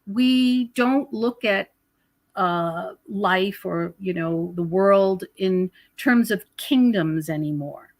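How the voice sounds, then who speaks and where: A woman's voice: mid-range voice, slow pace. An American woman speaking conversationally as a podcast guest, explaining her ideas with emphasis. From United States. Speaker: podcast guest, researcher of prophecy and UFOs.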